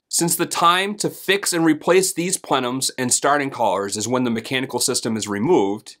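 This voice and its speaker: A man in his thirties hosting a technical training video, speaking in a scripted, deliberate way straight to camera from a home office. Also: a little high, fairly bright tone. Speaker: host and HVAC trainer. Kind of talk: scripted instructional explainer.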